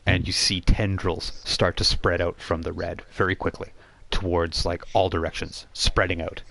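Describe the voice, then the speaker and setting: A man with a low voice is running a tabletop role-playing game. He improvises the scene as game master, halting as he builds the description. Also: little pitch movement, vocal fry, a bit bright, no echo.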